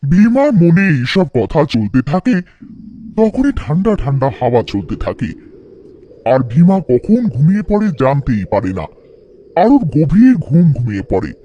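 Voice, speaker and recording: Animated, mellow male storyteller. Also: on the high side, moderate pace, highs cut off, slight background noise. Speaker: narrator. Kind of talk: scripted children's folktale narration.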